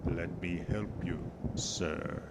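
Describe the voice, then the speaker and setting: Slow, sinister, deep-voiced gothic count. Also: male voice, steady tone, gravelly, very noisy, heavily acted. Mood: sinister courtesy. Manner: slow, deliberate, formal. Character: sinister, courtly Count Dracula, posing also as coachman. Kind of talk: theatrical gothic radio drama acting.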